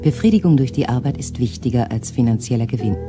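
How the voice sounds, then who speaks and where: A man's voice: mid-range voice, fast, music in the background, close to an everyday voice. A man playing a formal radio newsreader. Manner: flat, formal reading. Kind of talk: news announcement in a radio play.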